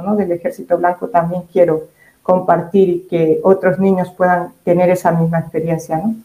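A woman guest speaking calmly and reflectively about her upbringing in an interview. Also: fairly low pitch, echoey room, low-quality recording. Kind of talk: testimony interview.

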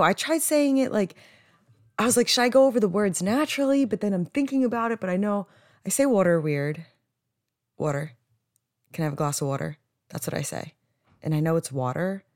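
A young American woman with a low voice chats like a podcast host, in a candid, rambling way. She is from New Jersey and leans often on "like". The sound is dry and free of room echo. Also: darkish tone. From New Jersey, United States. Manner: chatty, rambling, candid, frequent "like". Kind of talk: casual solo podcast chat.

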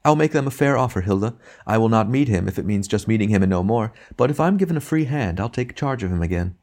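A man's voice: fairly low pitch, lively intonation, quick pace, darkish tone, pitched above the performer's own voice. A man voicing a bossy complaining wife's ghost. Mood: pleading, demanding. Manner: complaining, bossy, self-righteous. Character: self-pitying, domineering ghost of an older wife. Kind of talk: audiobook character reading.

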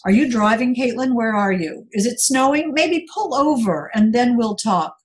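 Concerned professional woman in an audiobook thriller, voiced by a female reader, slightly raised pitch, gentle and coaxing. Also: expressive, normal speed, close to an everyday voice. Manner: gently coaxing, direct questions. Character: concerned, soothing professional woman on a car phone.

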